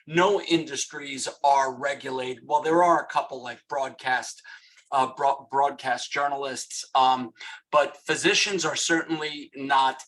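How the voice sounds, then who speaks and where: A man's voice: medium pitch, fairly even intonation, a little slow, fairly bright tone, low-quality recording. A man with a New York accent, an attorney presenting on a webinar, talking with a wry tone and many fillers and restarts.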